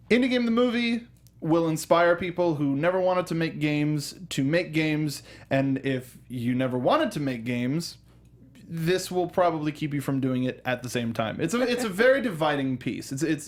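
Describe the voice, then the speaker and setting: A man with a slightly high voice hosts a film review show. He speaks in a casual panel style and often doubles back, repeating the start of a phrase. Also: lively intonation. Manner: halting, repeats and restarts phrases.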